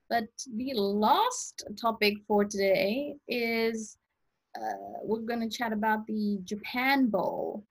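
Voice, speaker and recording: A young woman from South Africa chatting casually as a co-host. Her voice is husky and a little breathy. She talks in a relaxed, rambling way, full of fillers. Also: fairly high pitch, rough recording. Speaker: podcast co-host. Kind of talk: casual chat.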